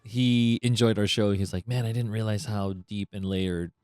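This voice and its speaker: Low-voiced man with teasing quips. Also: steady tone, normal speed, darkish tone, smooth, no echo. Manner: dry teasing quips, laughing. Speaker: podcast co-host. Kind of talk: casual chat.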